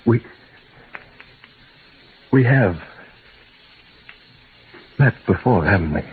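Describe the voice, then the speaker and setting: An actor playing an obsessed, entranced husband in a 1940s American radio drama, fervent and halting. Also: male voice, fairly low pitch, lively intonation, husky, full character voice. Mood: awed, pleading. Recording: muffled, narrow-band 1940s radio recording.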